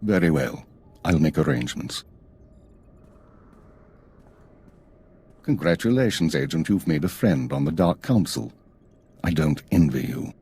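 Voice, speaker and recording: An actor playing a stern, weary intelligence chief in a video game, formal, clipped and measured. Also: male voice, extremely low pitch, animated, mellow, slightly rough, full character voice. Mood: curt, formal. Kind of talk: video game cutscene acting.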